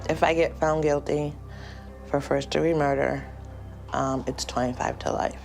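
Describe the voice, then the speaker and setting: A woman in her forties tells her story in a documentary interview with a gravelly, fry-laden voice. She hesitates often and sounds emotional. Soft music sits under her voice. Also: low-pitched, steady tone. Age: 40s. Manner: emotional, halting with ums. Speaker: jail inmate awaiting trial.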